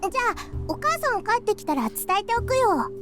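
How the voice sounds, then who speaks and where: A woman's voice: very high-pitched, big pitch swings, very fast, music in the background. A woman playing a young girl in a Japanese visual novel, in anime-style game acting. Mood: casual, agreeable.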